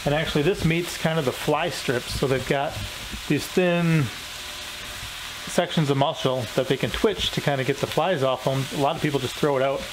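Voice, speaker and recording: Home cook, man in his thirties, easygoing and rambling, slightly higher voice, pans frying audibly behind him. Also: normal speed, noisy background. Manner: relaxed, rambling, explains as he cooks. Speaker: homestead cooking vlogger. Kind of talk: casual cooking demonstration. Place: small home kitchen, indoors. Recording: clear kitchen sizzle behind voice. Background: pan sizzling and frying.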